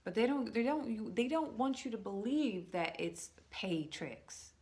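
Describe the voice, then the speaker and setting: A woman talking to her viewers in a casual, rambling monologue, slowing down to stress words and restarting her sentences often. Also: fairly high pitch, lively intonation, a little mellow. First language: English. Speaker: vlogger.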